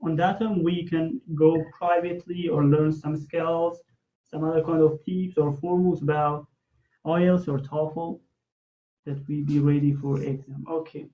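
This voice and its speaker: Farsi-native host asking long rambly questions in english, higher voice, muffled audio. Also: male voice, steady tone, measured pace. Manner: long, searching questions with fillers. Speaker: interview host.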